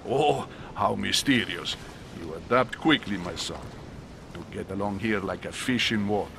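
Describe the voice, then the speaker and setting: A man voicing a sly, fatherly cardinal in a video game, courtly and knowing, over a rumbling background. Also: moderate pace, vocal fry, noisy background. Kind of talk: video game cutscene acting.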